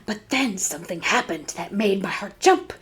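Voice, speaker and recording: A woman reading a storybook aloud to children as a librarian, dramatic and dropping to hushed tones for suspense. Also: mid-range voice, animated, normal speed, husky.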